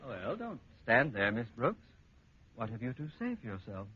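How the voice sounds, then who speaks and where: Blustering, pompous principal, wildly animated pitch. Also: male voice, moderate pace. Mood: seething, exasperated. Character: pompous, irascible middle-aged male school principal. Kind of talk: 1940s radio comedy acting. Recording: dull, very noisy 1940s radio recording.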